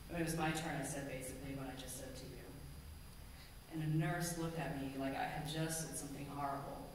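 A middle-aged woman minister preaching a sermon from a pulpit, measured and earnest, slowing down to stress key phrases. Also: low voice. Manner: measured, earnest, slows for emphasis. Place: large church sanctuary, at a pulpit. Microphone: pulpit gooseneck mic, far camera. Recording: echoey hall from far off, ambient noise.

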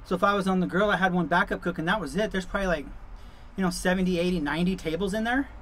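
A man's voice: high-pitched, very fast, a bit bright, a little hiss or hum. A middle-aged man chatting casually to camera as a vlog host, in an informal, easygoing, rambling way, from the back of a truck camper.

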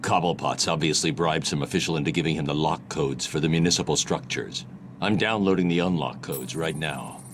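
Terse stoic vigilante, deep growl, faint noise. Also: male voice, brisk. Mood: grim. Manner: terse, clipped. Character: grim, stoic masked vigilante.

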